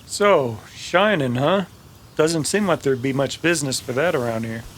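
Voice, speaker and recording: A man playing a wry, gruff cowboy gunman with a folksy manner, in an old-time radio Western. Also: medium pitch, normal speed, voice pushed up, lightly acted. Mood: wry, friendly.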